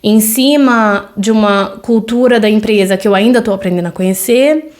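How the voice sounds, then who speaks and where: A woman's voice: medium pitch, moderate pace, a little mellow. A Brazilian woman in her thirties with a Brazilian Portuguese accent, hosting a solo podcast and talking in a loose, conversational way, with many fillers and tag questions. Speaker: podcast host.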